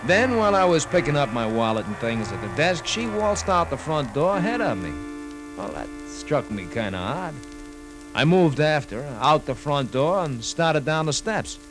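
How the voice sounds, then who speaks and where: An actor playing an earnest, hard-boiled American sidekick in a slangy 1950s radio drama narration. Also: male voice, fairly high pitch, sing-song, moderate pace. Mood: wry recounting. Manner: colloquial, slangy. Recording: old radio recording, music underneath.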